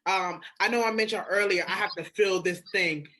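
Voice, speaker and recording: A woman's voice: mid-range voice, normal speed, pushed and bright, a little hiss or hum, low-quality recording. A woman hosting a candid podcast conversation, slangy and emphatic, often repeating her phrases.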